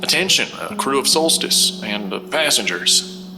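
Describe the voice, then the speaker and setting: An actor playing a folksy, defiant starship captain in an audio drama, addressing the crew in an informal, rambling, emphatic way. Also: male voice, mid-range voice, expressive, measured pace. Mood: easygoing, informal. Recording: roomy, reverberant sound over clear music.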